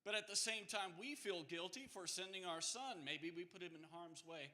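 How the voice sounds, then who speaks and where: A man delivering an earnest personal speech slowly at a speaking workshop. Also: sits high, pushed and bright. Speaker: workshop participant giving a speech.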